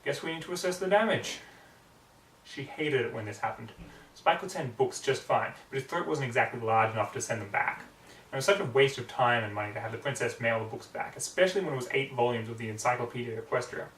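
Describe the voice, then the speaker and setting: A man's voice: medium pitch, fast talker, fairly bright tone, distant mic, slight background noise. Theatrical male narrator in an echoey room. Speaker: amateur story reader. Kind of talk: hammy fan fiction narration.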